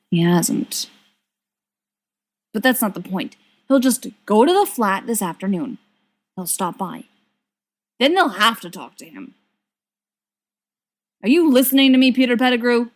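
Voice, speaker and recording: A woman reading a story aloud as an expressive narrator. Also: on the low side. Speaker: volunteer audiobook reader. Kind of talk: expressive scripted fiction narration.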